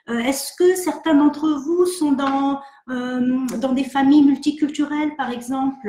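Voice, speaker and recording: Hesitant French woman psychologist, echoey room. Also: high-pitched. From France. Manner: hesitant, many fillers and restarts, gentle and reflective. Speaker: psychologist hosting an online Q&A session. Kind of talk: live webinar, reading and answering viewer questions.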